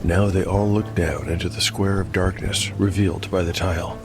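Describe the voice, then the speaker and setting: Low-pitched male fantasy narrator over music. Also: fairly even intonation, normal speed. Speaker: narrator and game master. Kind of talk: scripted narration of fantasy audio drama.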